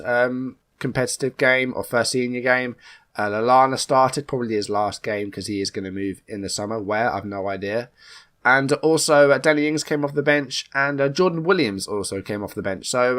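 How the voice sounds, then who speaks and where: A man's voice: medium pitch, fairly bright tone. Man with a cold, casual gaming commentary. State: coming down with a cold. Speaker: YouTube gaming channel host. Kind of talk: casual let's play commentary.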